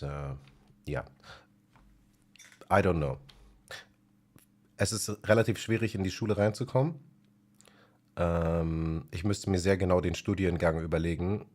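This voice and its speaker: Very deep-voiced man musing casually. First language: German. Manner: relaxed, thinking aloud with many fillers. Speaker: music channel host. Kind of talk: casual spoken vlog.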